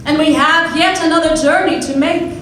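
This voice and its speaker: Slow solemn spirit, high voice, echoey hall, distant mic. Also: female voice, a bit bright, slight background noise. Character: solemn female ghost of Christmas past. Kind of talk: live radio play acting. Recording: echoey hall recording from far off.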